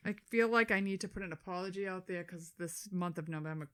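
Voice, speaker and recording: A woman's voice: on the low side, fast talker, fairly bright tone, clear, resonant tone. A middle-aged woman co-hosting a podcast in casual, blunt chat, swearing freely and laughing often. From Boston area, USA. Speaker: podcast co-host.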